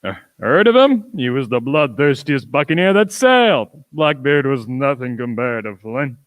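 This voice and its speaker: A man playing a pompous, eager country squire in a radio play, with halting, emphatic delivery. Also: medium pitch, lively intonation, no room sound, lightly acted. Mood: excited, boastful. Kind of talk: youth radio play acting.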